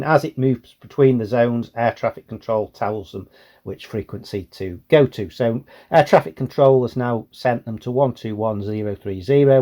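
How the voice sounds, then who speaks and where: Mellow British man explaining casually. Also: medium pitch, lo-fi sound. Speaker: radio hobbyist presenter.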